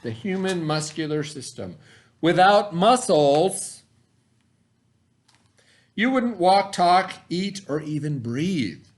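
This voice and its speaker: A man teaching by reading aloud to pupils, pausing to explain in casual asides and check that they follow. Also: on the high side, very animated. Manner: pauses to explain, checks in with "okay?".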